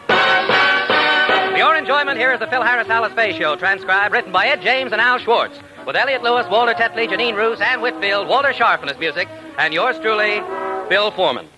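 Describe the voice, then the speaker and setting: Animated American male radio announcer, high voice. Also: moderate pace, rough recording. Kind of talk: 1950s radio comedy announcing. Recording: old radio broadcast recording. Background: loud music.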